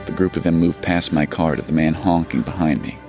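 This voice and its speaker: An actor playing a haunted, hard-bitten army sergeant who survives a zombie apocalypse, in an audio drama. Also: male voice, sits low, brisk. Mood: grim, haunted. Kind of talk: audio drama narration and dialogue. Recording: loud music and car sounds behind.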